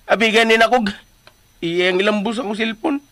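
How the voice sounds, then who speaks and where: An actor in a Visayan Filipino radio drama playing an excitable, clumsy household helper in a whiny, exclaiming comic manner. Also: medium pitch, moderate pace, no echo, soft background music, natural voice. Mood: agitated, comic.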